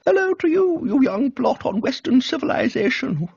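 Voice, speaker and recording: A man's voice: very high voice, very animated, normal speed, gravelly, darkish tone, slightly rough, no room sound, lo-fi sound, voice pushed up, exaggerated voice. A man voicing a bossy, breezy middle-aged English aunt in a comic radio reading, teasing and exclamatory. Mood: brisk teasing.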